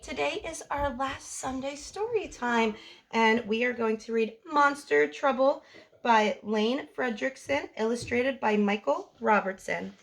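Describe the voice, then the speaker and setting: A woman reading a picture book aloud to young children as a storytime host, in an expressive sing-song delivery. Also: a little high, measured pace, creaky.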